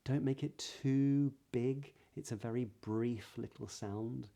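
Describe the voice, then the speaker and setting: Calm southern English voice coach. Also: male voice, mid-range voice, takes it slowly. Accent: Southern British English. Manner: clear, demonstrative, breathy example sounds. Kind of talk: pronunciation lesson.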